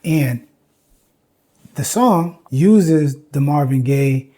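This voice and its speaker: A man's voice: a little high, lively intonation, extremely slow, dark tone. An American man in his fifties giving informal educational commentary, emphatic and repeating phrases to drive points home. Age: 50s. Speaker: video essay host.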